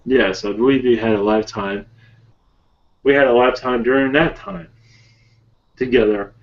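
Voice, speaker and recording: A man voicing an ancient otherworldly spirit guide in a calm, solemn, halting manner with measured, broken phrasing. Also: medium pitch, natural voice. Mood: calm, earnest. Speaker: channeler leading a webinar session. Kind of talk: slow improvised channeled speech. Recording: muffled lo-fi webinar call audio.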